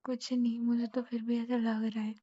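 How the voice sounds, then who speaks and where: A woman's voice: a little high, completely flat delivery, normal speed, mellow, no echo. A young woman chatting casually and intimately with her partner on a phone call, in a questioning, teasing way. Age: young adult. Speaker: girlfriend. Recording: muffled lo-fi phone call recording.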